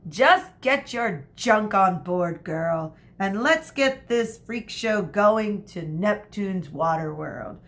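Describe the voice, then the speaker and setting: A woman voicing a bossy, weary woman schoolteacher from a storybook, barking scolding orders with drawn-out emphasis. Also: mid-range voice, lively intonation. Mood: loud, exasperated.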